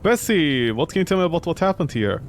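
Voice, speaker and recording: A man voicing a steady, inquisitive young private detective in a game. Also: on the high side, quick pace, slight background noise, natural voice.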